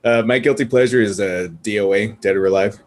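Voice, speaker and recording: A man chatting casually as a podcast panelist, informal and expressive in how he talks. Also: on the low side, fairly quick. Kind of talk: casual group chat.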